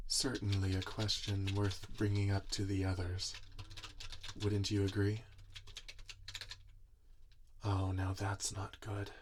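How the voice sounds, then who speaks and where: A man playing a gentle, earnest monster inventor in an ASMR roleplay, speaking softly in a soothing, deliberate, reassuring way. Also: lowish voice. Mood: thoughtful, tender, then mildly concerned. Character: gentle, earnest male monster inventor tending his robot creation. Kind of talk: soft-spoken ASMR roleplay. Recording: audible background noise, typing and clicks. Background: computer keyboard typing and clicks.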